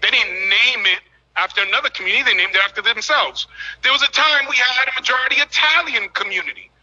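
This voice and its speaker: A man speaking as mayor, addressing a city council meeting in a measured, formal and emphatic way. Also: extremely high pitch, bright, forceful tone. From United States. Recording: dull lo-fi meeting audio.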